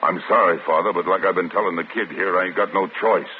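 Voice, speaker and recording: A man's voice: sits low, steady tone, fast, close to an everyday voice. An actor playing a desperate, menacing male convict in a 1940s radio drama, with tough, clipped street talk. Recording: tinny old radio broadcast with hiss.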